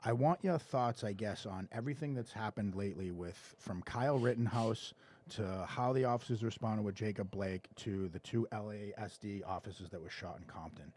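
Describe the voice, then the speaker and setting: A man's voice: fairly low pitch, moderate pace, a little mellow. A man hosting a podcast, asking questions in a casual, conversational way. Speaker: podcast host. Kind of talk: casual interviewing.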